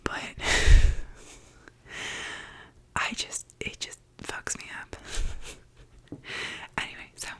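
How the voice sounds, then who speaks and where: A woman's voice: brisk, hardly any pauses, bright, forceful tone. A young woman whispering in her apartment, chatting casually as she tries out makeup, with lots of "like" and the odd swear word. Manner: whispers the whole time. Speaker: ASMR vlogger. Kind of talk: whispered ASMR makeup chat.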